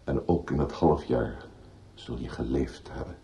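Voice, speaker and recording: An actor playing a grave, consoling older man in a Dutch radio drama, with a measured, paternal, philosophical manner. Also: very deep voice, dark tone. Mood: emphatic, consoling. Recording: slightly noisy old radio recording.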